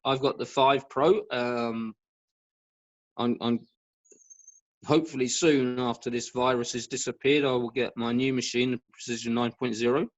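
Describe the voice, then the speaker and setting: A man speaking as an expert guest in an informal discussion on a video call, halting and repeating words for emphasis. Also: mid-range voice, steady tone. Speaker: guest expert, professional badminton racket stringer. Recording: dull lo-fi video call audio.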